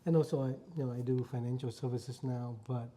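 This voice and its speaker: A man's voice: medium pitch, fairly even intonation, brisk, mellow, a little hiss or hum. A man in his fifties from Vietnam, a Vietnamese accent, earnestly telling his life story as a guest. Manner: earnest, repeats phrases while recalling. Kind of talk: casual conversation.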